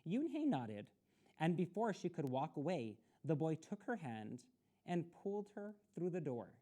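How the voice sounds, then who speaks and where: A woman reading a children's story aloud expressively, in a deliberate manner suited to young listeners. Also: low voice, big pitch swings, mellow. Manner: deliberate, with explanatory asides to children. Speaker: storytime reader.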